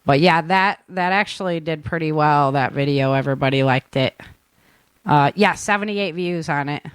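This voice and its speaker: Clear-voiced woman hosting a podcast, upbeat. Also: low voice, normal speed, dry recording. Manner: upbeat, informal. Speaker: podcast host. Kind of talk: casual chat and sign-off.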